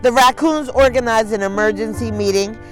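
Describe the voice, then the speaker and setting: A woman storyteller reads a picture book aloud to children, expressively, in deliberate phrases with dramatic pauses. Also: on the high side, soft background music.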